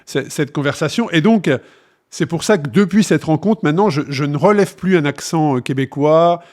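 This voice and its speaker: Higher-pitched Frenchman in casual conversation. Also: moderate pace, darkish tone. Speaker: podcast host interviewing a guest.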